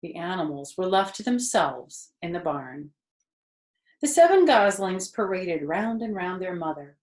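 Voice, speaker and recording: A woman storyteller reading a children's book expressively, lingering deliberately on the ends of phrases. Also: on the low side, sing-song, darkish tone, echoey room.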